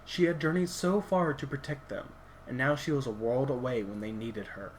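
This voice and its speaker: A man's voice: mid-range voice, fairly even intonation, moderate pace, a little hiss or hum, low-quality recording. A man reading a game story aloud, with hesitant restarts and wry side remarks. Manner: reads with hesitant restarts, stops to comment. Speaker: story reader.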